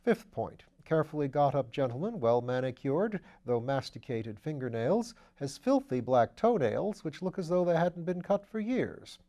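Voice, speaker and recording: A man voicing a breezy aristocratic gentleman detective in an audiobook reading, chatty and full of upper-class slang. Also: fairly high pitch, big pitch swings, moderate pace, hardly any pauses. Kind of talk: audiobook character voice.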